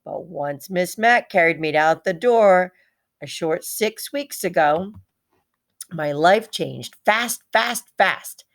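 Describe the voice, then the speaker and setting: A woman's voice: lowish voice, expressive, takes it slowly, vocal fry, exaggerated voice. A woman voicing a small, proud, heartbroken male pet hamster in a dramatic children's storybook read-aloud, repeating words for emphasis. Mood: wistful, eager.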